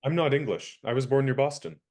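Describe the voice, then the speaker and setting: A man's voice: medium pitch, a little fast, darkish tone, close to an everyday voice. An actor playing an easygoing young American man who speaks politely and a bit sheepishly. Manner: polite, casual, slightly sheepish. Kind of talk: radio play acting.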